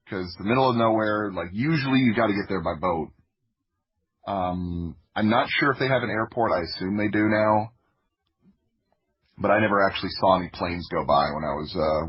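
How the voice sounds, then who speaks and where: A man with a deep and very husky voice shares personal stories casually. He gropes for words and thinks aloud as he talks. The recording is a little dull and lo-fi. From Florida, United States. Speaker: podcast guest, audio drama creator. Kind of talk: casual autobiographical storytelling. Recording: dull, lo-fi audio.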